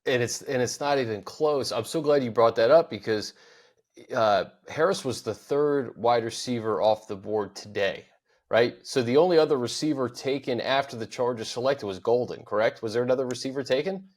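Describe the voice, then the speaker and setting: A man with an ordinary mid-range voice hosts a casual sports chat. He talks quickly and conversationally, picking up his co-host's points and running with them. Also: medium pitch. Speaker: podcast host.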